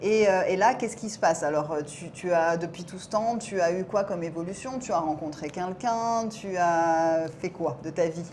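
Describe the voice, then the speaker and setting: A woman's voice: on the low side, normal speed, hardly any pauses, a little mellow, a little hiss or hum. A woman hosting her own channel, interviewing a guest in a friendly, chatty way with lots of questions. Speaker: YouTube channel host, interviewer. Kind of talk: casual interview chat.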